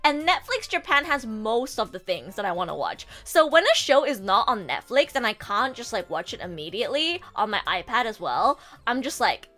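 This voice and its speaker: Woman livestreamer, casual chat at a home desk mic, slightly sore throat; bright, bouncy, high voice. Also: normal speed, few pauses, soft background music. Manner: chatty, animated, casually swearing, addresses chat. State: sore throat, coming down with a cold. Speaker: VTuber streamer and voice actor.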